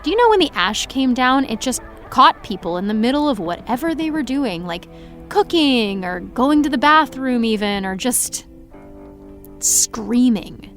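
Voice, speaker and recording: A woman playing a slow, weary young woman. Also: a little high, faint music behind. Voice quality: flat, deadpan.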